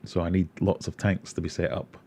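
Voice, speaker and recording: A man's voice: low-pitched, level tone, brisk, vocal fry, dark tone, slight background noise. A Scottish man from Glasgow hosting an aquarium livestream, casually answering chat questions and rambling with self-deprecating asides. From Glasgow, Scotland.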